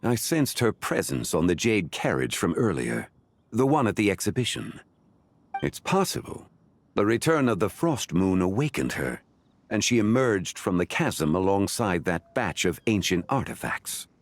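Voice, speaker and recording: A man playing a calm, learned ancient sage in a fantasy video game, speaking formally and deliberately in measured phrases. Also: slight background noise. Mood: solemn, explanatory. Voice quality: deep, measured. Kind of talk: scripted video game dialogue.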